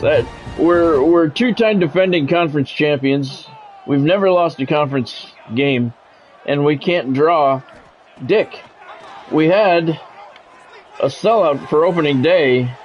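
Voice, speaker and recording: American guy streaming football game, calls plays and chats, voice a bit high, slightly muffled, music behind. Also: expressive, slow, pauses often, darkish tone. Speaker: gaming streamer calling play-by-play of own game; former radio sports play-by-play announcer. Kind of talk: football play-by-play commentary mixed with casual chat.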